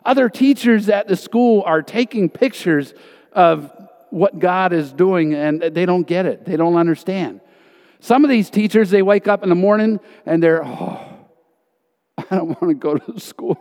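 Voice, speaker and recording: A man's voice: high-pitched, normal speed, a little mellow. A man giving an earnest, emphatic testimony in a church hall, telling stories from his missionary teaching. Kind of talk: sermon testimony. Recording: reverberant hall.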